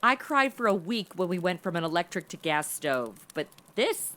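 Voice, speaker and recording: A woman's voice: lowish voice, sing-song, normal speed, fairly bright tone. A woman playing a flippant, sarcastic modern young woman stuck in the Old West, in a comedy audio drama. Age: young adult. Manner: sarcastic, slangy, breezy.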